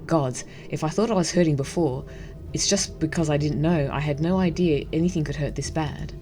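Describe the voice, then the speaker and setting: A woman's voice: deep voice, brisk, warm tone, soft background music. A woman hosting a gaming video, casually commenting and reading the story text aloud. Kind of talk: casual game commentary and read-aloud narration.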